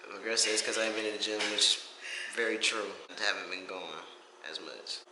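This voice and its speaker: A man's voice: fairly low pitch, fairly even intonation, fairly quick. A man giving a casual, rambling personal update to camera in an informal, self-reflective way. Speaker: vlogger. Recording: echoey room with faint hiss.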